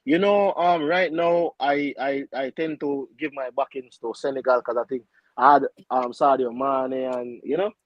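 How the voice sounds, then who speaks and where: Excitable man chatting football, dry sound. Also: medium pitch, no room sound, dull audio. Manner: excitable football fan, quick restarts. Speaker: live stream co-host. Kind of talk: casual chat on a live stream.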